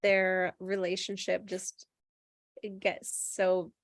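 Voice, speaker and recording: A woman's voice: mid-range voice, completely flat delivery, takes it slowly, no room sound. Woman chatting casually, dry close sound. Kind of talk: casual group chat.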